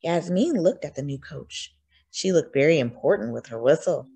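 A woman reading a children's storybook aloud in an expressive, acted-out way. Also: deep voice, moderate pace, husky. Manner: expressive, acts out dialogue. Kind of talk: animated scripted storybook narration.

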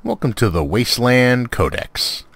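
A man's voice: medium pitch, sing-song, measured pace, gravelly, fairly bright tone, dry recording. A man giving casual, conversational gameplay commentary in a laid-back way, as the host of a showcase. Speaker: host of a game mod showcase.

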